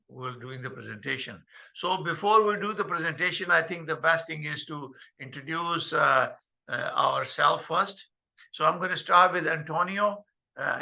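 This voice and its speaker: An older man hosting a video call meeting, speaking haltingly with many fillers. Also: fairly high pitch, vocal fry, bright, forceful tone. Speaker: host.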